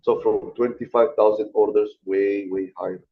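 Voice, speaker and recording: A man gives an online lecture in a loose, conversational way, calling his students guys. His voice sits low. The call audio is dull and lo-fi. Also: fairly even intonation, normal speed. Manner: casual, chatty teaching, addresses students as guys. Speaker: guest lecturer.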